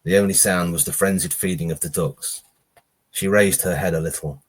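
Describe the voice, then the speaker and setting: Deep, husky-voiced man reading horror fiction. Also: steady tone, normal speed. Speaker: author reading own short story.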